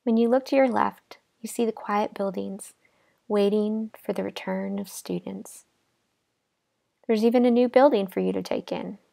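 A woman leading a guided imagery relaxation exercise in a calm, gentle, unhurried manner with pauses. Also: medium pitch, gravelly. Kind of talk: guided imagery meditation narration.

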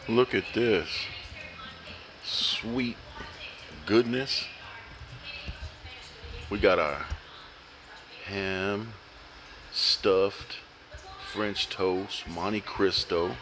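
Deep-voiced man reviewing food. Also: pushed and bright, a little hiss or hum. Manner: enthusiastic, joking, talks while eating. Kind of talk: casual food review vlog. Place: restaurant dining room, indoors.

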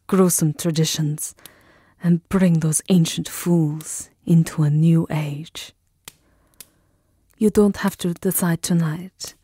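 A woman's voice: lowish voice, dark tone, no room sound, studio quality. A woman voicing a lovesick immortal vampire countess in a tender, intimate, pleading ASMR roleplay. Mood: earnest resolve. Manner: breathy, intimate, pleading, drawn-out phrasing.

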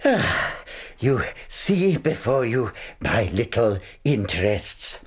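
A fussy elderly collector of fossils and bugs for a radio drama. He dithers and loses his thread, his breathy voice swooping wildly high and low. He is kindly and absent-minded. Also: big pitch swings, slow pace, heavily acted. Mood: dithering. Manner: dithering, flustered, forgetful. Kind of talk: BBC radio drama acting.

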